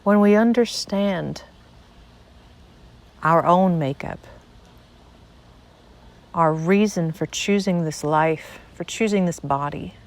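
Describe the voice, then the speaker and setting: A woman with a slightly low voice leads a guided meditation. She speaks very slowly and deliberately, setting short phrases apart with pauses. The delivery is a measured spiritual monologue.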